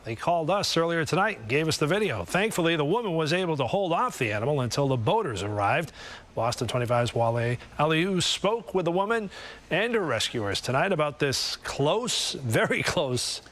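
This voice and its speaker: A man's voice: a little high, sing-song, moderate pace, a bit bright. A man anchoring a local evening newscast and chatting with his reporter. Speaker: news anchor. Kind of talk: studio news anchoring.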